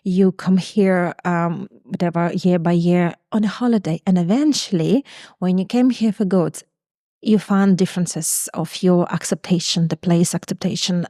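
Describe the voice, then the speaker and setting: A woman's voice: lowish voice, fairly even intonation, moderate pace, mellow, no echo, clean studio sound. A woman hosting a podcast interview in casual, imperfect English. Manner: non-native English, broken grammar. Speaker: podcast host. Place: Portugal.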